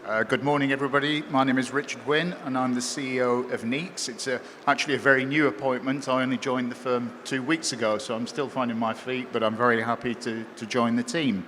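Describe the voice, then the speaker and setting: A man, likely middle-aged, introducing himself as a CEO on an expo panel in a measured, polite way. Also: medium pitch, fairly even intonation, a bit bright. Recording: reverberant hall with faint noise.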